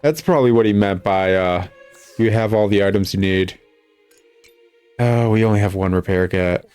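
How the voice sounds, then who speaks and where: A man with a fairly low voice talks casually through a video game as he plays. His manner is sarcastic and exasperated, rambling from one complaint to the next like a critic hosting his own show. Music plays clearly behind him. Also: moderate pace, a little mellow. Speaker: gaming video host, critic.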